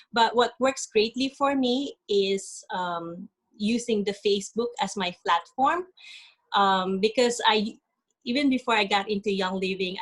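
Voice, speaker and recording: A woman from the Philippines, giving an earnest talk on a video call, often pausing with "um". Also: mid-range voice, a bit bright. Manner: earnest, halting with many ums. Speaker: guest speaker. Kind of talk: webinar presentation.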